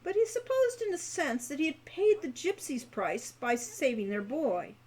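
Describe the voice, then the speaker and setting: A woman's voice: high-pitched, big pitch swings, normal speed, a little mellow. A woman reading storybook narration aloud expressively, with the odd small stumble.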